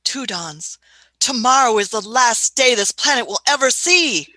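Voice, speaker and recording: Brief: woman as an excitable old alien traveller for a radio play, bright lively voice that swoops in pitch, dry close sound. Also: a little slow, dry recording, heavily acted. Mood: urgent. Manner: excitable, stammering, fussy. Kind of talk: amateur radio play acting.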